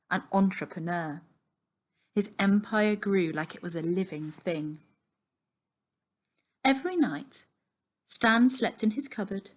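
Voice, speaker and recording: A woman narrates a children's story from the page. She takes her time, reading expressively in a medium-pitched voice and leaning into each item of a list. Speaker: story reader.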